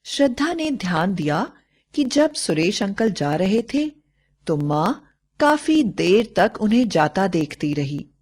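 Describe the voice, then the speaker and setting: Woman reading a bedtime story, takes it slow, expressive storyteller delivery. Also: mid-range voice, husky. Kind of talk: scripted Hindi moral-story narration.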